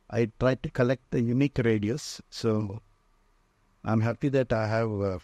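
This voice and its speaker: A man with an Indian accent giving an informal guided tour as a museum owner, speaking haltingly with frequent pauses. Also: mid-range voice, a little mellow, dry recording. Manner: halting, pausing often mid-phrase. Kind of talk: informal narrated walk-through tour. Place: indoor museum and workshop room full of shelves.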